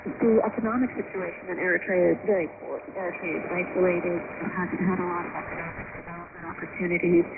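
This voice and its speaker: A woman's voice: on the low side, fairly even intonation, fast talker, gravelly, few pauses, phone-quality sound, heavy background noise. A woman giving interview commentary as a policy expert, heard through a shortwave radio broadcast.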